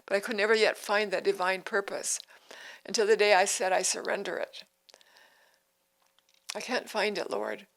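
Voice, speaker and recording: A woman's voice: medium pitch, fairly even intonation, moderate pace, long pauses, a bit bright, rough recording. A woman in her eighties, hosting a devotional podcast in an earnest, repetitive way with frequent restarts. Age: 80s. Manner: earnest, repeats phrases, restarts sentences. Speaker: podcast host.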